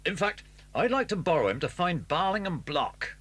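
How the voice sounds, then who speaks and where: An actor with a British accent playing a genial, down-to-earth police inspector in a children's audio drama. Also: male voice, high-pitched, sing-song, moderate pace, fairly bright tone, slightly rough, heavily acted.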